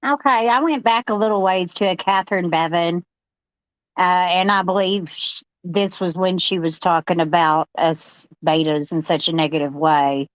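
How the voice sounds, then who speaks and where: A woman's voice: fairly low pitch, steady tone, moderate pace, dry recording, highs cut off. A woman panelist on a live discussion, talking casually and reading out viewer comments.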